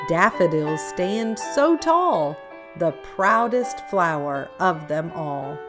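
A woman reading picture books aloud in an expressive, deliberate storytelling style for young children. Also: lowish voice. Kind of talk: read-aloud picture book narration. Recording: music under the voice. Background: background music.

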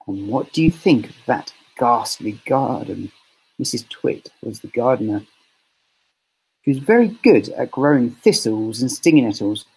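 Male storybook reader, expressive and deliberate, animated pitch, slightly raspy. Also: low-quality recording. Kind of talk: children's story reading.

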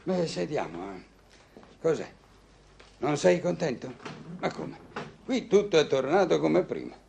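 A teasing middle-aged male doctor in an old radio play. His creaky voice swoops up and down as he banters with florid, wry humour. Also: on the high side, lively intonation, a little slow, a little mellow, slight background noise, full character voice. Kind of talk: vintage Italian radio drama acting.

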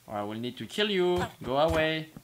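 A young man who is not a native English speaker, excitedly commentating his own gameplay from a headset in a small room, stumbling and exclaiming often. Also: fairly high pitch, a little fast, noisy background. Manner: excitable, hesitant, frequent exclamations. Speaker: gaming channel host.

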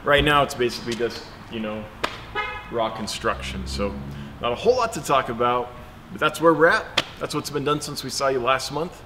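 A man's voice: mid-range voice, normal speed. Chatty man giving a building walkthrough. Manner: chatty, relaxed, explaining as he walks. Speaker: fish store owner and vlogger. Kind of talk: casual walkthrough vlog. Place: outdoors and inside an unfinished open building. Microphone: wireless mic on a handheld camera shoot. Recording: noticeable outdoor background noise.

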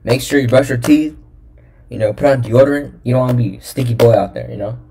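A man's voice: mid-range voice, quick pace, vocal fry, background noise. A young man hosting a reaction video from a small room, talking casually with slang and jokes. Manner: laid-back, joking, slangy.

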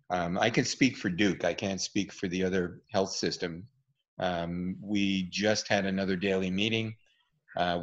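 A man with a very low voice explains things to an online group in a relaxed way, stopping often for ums and uhs. His audio comes through a muffled video call. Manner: relaxed, explanatory, with many fillers. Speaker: presenter, physician. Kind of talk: online talk and Q&A.

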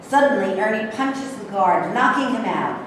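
A woman reads stage directions in a plain, declarative way. Her pitch is average. She sounds distant in a very echoey room with clear noise. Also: normal speed. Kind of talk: scripted narration of stage directions. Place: bare indoor rehearsal room. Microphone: distant camera microphone.

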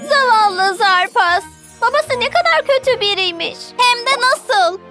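A woman's voice: extremely high pitch, animated, unhurried. A woman voicing a tiny, curious baby mermaid girl in a children's cartoon, eager and childlike. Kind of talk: animated children's cartoon dubbing. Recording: loud music under the voice.

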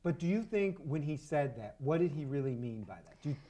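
A middle-aged American man hosting an onstage interview, asking probing questions in a halting, conversational way. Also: on the high side, big pitch swings, dark tone. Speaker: interviewer, podcast host. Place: on stage in a small dark theater.

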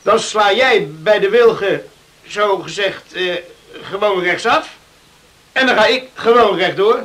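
High, bright, eager farm lad. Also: male voice, a little slow. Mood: eager, hearty. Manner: hearty, cocksure. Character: strong, eager, boastful young farmer son. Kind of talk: Dutch radio play acting.

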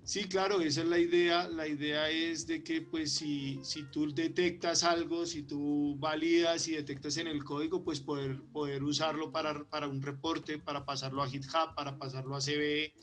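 A man's voice: a little high, little pitch movement, normal speed, few pauses, a bit bright, soft background music. A man presenting his work at a conference and answering questions over a video call, often hesitating and repeating words. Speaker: conference speaker. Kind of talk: conference talk and Q&A.

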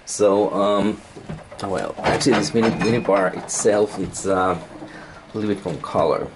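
Very low-voiced man, halting room tour. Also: fairly even intonation, background noise. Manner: halting, repeats words and trails off. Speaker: travel blogger reviewing a hotel room. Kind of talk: casual unscripted walk-through narration. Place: indoors, moving around a hotel room. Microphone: handheld camera, operator behind it.

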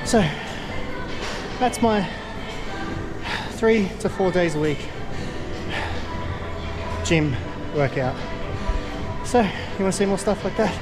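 A man's voice: sits high, lively intonation, slow pace, long pauses. A man, casually narrating his gym workout in a self-deprecating, upbeat way on an action camera. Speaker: vlogger. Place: gym, indoors, and outdoors by a car. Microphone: handheld action camera. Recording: music playing behind. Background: background music.

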